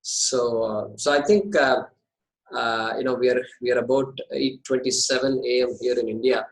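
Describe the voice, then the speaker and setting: A man running an online course orientation from home, talking haltingly with many pauses and restarts over a video call. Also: mid-range voice, steady tone, low-quality recording. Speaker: course organizer and host. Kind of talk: casual video call talk. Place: home in India.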